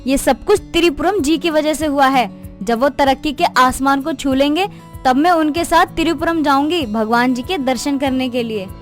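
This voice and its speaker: Devout, naive young wife acted by a woman, extremely high register, emotional cartoon delivery. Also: moderate pace, soft background music, close to an everyday voice. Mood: delighted.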